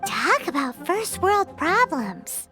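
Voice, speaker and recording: A woman voicing a small, cheeky, childlike fairy companion in a video game, with a squeaky, perky, blunt delivery. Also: big pitch swings, takes it slowly, pushed and bright, faint music behind, full character voice. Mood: exasperated. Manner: perky, exclamatory, refers to self in third person. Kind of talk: video game cutscene acting.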